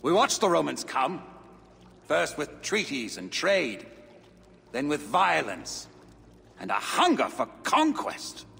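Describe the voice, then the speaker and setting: Ghost warrior king, game: proud, grave, slow delivery, bright gravelly tone. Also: on the high side, wildly swooping pitch, long reverb tail, exaggerated voice. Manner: grave, boastful declamation. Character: proud defiant ancient British king ghost.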